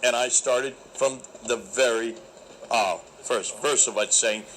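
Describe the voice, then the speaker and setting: A man recounting a debate in an animated, emphatic, preacherly way, with a naturally loud voice. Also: mid-range voice, slow pace, a little hiss or hum, low-quality recording. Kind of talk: storytelling talk.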